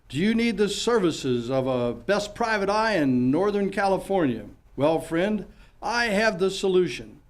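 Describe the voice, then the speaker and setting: A man playing the announcer and narrator of an old-time radio detective mystery, reading from a script. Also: sits high.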